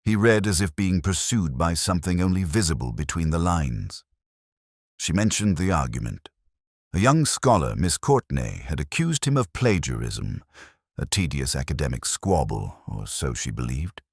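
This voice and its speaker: Man, extremely low voice, lively intonation, scripted detective narration, measured and brooding, literary. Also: breathy edge, no room sound, studio quality. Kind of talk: scripted first-person mystery narration.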